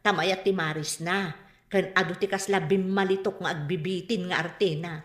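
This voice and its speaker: A woman's voice: lowish voice, steady tone, moderate pace, roomy sound, natural voice. An actress with an Ilocano Filipino accent playing a vain, boastful mother in a radio drama. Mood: proud, amused. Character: vain, boastful mother proud of her own beauty.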